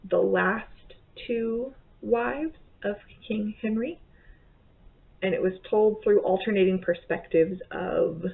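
Woman, 30s, casual book chat, self-correcting, gravelly fry, tinny lo-fi webcam audio. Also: mid-range voice. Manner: rambling, self-correcting, chatty. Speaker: book vlogger. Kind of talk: casual monthly reading wrap-up. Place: bedroom or home office, indoors. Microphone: webcam at desk. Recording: tinny phone-like sound.

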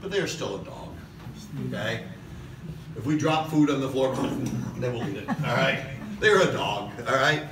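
A middle-aged man preaching a sermon from a podium in a large church hall, passionate, with "okay?" asides and slow emphatic lines. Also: medium pitch, lively intonation. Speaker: pastor. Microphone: far camera in the hall. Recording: echoey hall recorded from a distance with noise.

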